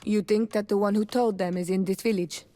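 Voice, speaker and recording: A dry, doubting female adventuring companion played by a woman in a video game. She speaks at a medium pitch in a wry, deliberate manner. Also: steady tone, dark tone, close to an everyday voice. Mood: sceptical. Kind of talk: video game dialogue acting.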